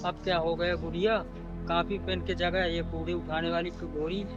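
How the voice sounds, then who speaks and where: A loyal, rough-spoken male sidekick played by an actor in a short drama film. His fairly high voice stays flat, with loud music behind. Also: normal speed, lo-fi sound, lightly acted. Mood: concerned.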